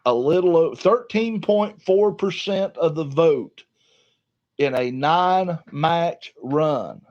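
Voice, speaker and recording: A man's voice: fairly high pitch, animated, slow pace, a little mellow. A man co-hosting a casual wrestling talk show, folksy and drawn out in his delivery. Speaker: podcast co-host. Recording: dull lo-fi sound.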